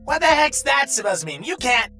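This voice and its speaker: A man voicing a brash, irritable, mocking demon triangle villain in an animated story, loud and screeching. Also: very high voice, fairly quick, soft background music, pitched above the performer's own voice, heavily acted. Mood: defiant. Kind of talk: animated story narration character voice.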